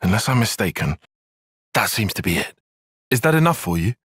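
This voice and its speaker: A man playing a cerebral, earnest young soldier and strategist in a video game, speaking in precise, formal, analytical language and quick to exasperation. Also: lowish voice, big pitch swings, a bit bright, breathy edge, no room sound, studio quality, full character voice. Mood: measured, analytical. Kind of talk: video game cutscene voice acting.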